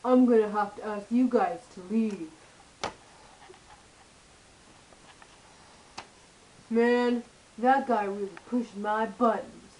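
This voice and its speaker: High-pitched woman voicing a chatty puppet guy. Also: steady tone, measured pace, a little mellow, a little hiss or hum, close to an everyday voice, low-quality recording. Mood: brash. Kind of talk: scripted school puppet-show dialogue and explanation.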